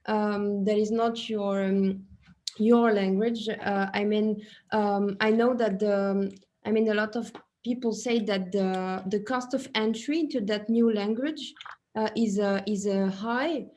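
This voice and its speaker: A woman's voice: medium pitch, steady tone, measured pace, darkish tone. A young woman with a French accent asking a question hesitantly on a video call, with many fillers and restarts. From France. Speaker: early-career researcher asking a question. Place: small office with shelves, indoors.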